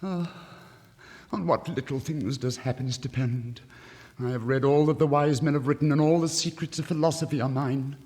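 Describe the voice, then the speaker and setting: A man's voice: medium pitch, moderate pace, a little mellow, pitched above the performer's own voice, lightly acted. A despairing young student in love, played by a male storyteller, lamenting in a raised, melodramatic voice. It is old-fashioned fairy-tale record acting. Long reverb surrounds the vintage recording. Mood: anguished.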